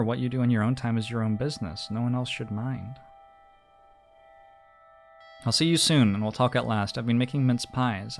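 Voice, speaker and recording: Man reading as fretful, doting mother, gravelly voice. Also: mid-range voice, natural voice. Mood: tender, self-deprecating. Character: worried, doting middle-aged mother writing to her grown child.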